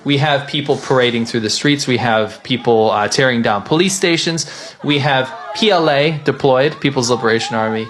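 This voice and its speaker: A man's voice: medium pitch, moderate pace, fairly bright tone, a little hiss or hum. A man giving emphatic spoken commentary, piling up points in repetitive lists.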